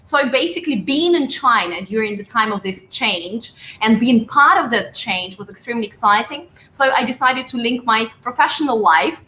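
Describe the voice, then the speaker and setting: A woman's voice: a little high, animated, normal speed, hardly any pauses, sharp tone. A woman from Russia, guest marketing expert on a live online interview, speaking in an enthusiastic, articulate way. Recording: echoey room with faint hiss.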